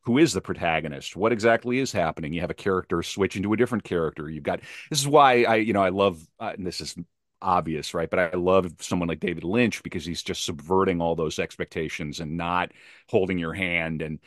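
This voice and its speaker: A man with a very low voice talks as a guest in a casual interview. The sound is dry, with little room. He explains his craft emphatically, repeating phrases to drive a point home. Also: brisk, no room sound. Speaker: podcast guest, playwright. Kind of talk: animated casual interview talk about craft.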